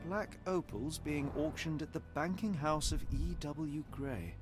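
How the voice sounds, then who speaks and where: A Victorian gentleman investigator from a video game speaks in a fairly high, measured voice, as voiced by a man. Game music plays clearly beneath his lines. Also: lightly acted. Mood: matter-of-fact. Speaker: game character. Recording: game audio with music underneath.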